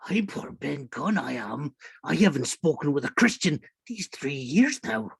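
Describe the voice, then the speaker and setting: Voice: medium pitch, very animated, unhurried, creaky, slightly rough, no room sound, exaggerated voice. An actor playing a marooned, half-mad old pirate castaway in a radio play, rambling and repeating words in rustic sailor speech. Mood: wistful, plaintive. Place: indoors, remote online reading. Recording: dry video-call recording.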